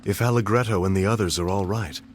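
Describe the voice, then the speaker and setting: A man's voice: fairly low pitch, quick pace, a little hiss or hum, natural voice. An actor playing a cool, probing young nobleman in a game cutscene, speaking in a formal, precise, questioning way. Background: game soundtrack music.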